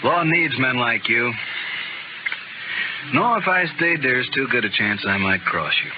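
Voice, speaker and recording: An actor playing a deadly, world-weary Old West gunfighter gentleman in a 1950s radio drama. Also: medium pitch, very animated, unhurried. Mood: resigned, wry. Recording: dull, noisy old radio recording.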